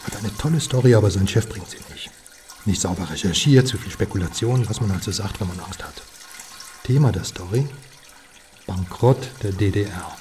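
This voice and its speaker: An actor playing a worldly, wry informant in a radio crime drama, talking in knowing, clipped conversational phrases. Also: male voice, deep voice, normal speed, darkish tone, natural voice. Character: worldly, wry male informant passing on political secrets.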